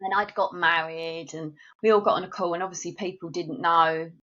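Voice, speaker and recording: A woman's voice: lowish voice, fairly even intonation, a little fast, a bit bright, muffled recording. Chatty South East London woman. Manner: chatty, friendly storytelling. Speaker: guest, marketing agency director. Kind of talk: casual interview chat.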